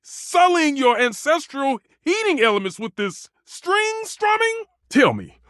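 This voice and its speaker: An actor playing a proud, temperamental, food-obsessed stove chef in a video game, with pompous, flowery delivery and exasperated outbursts. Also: male voice, extremely high pitch, huge pitch swings, a little slow, a bit bright, no room sound, studio quality, heavily acted. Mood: indignant, dramatic. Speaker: voice actor in a dating simulator game. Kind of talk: theatrical video game character acting.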